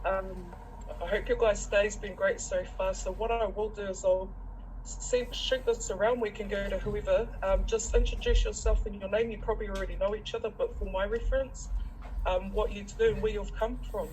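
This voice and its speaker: A woman's voice: medium pitch, fairly even intonation, normal speed, darkish tone, slight background noise, rough recording. A woman with a New Zealand accent leading a weaving session, talking in a chatty, encouraging way with many ums. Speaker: weaving facilitator and social worker. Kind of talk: friendly instruction in a group session.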